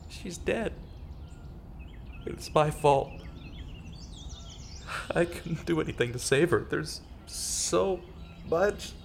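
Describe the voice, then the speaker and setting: Over clearly audible music, an actor voices a distraught man narrating a dark audio drama in the first person. His pitch moves around widely. He speaks dramatically and haltingly, repeating himself as he blames himself. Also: heavily acted. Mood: desperate, guilt-ridden. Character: distraught, self-blaming male radio man turned amateur pursuer.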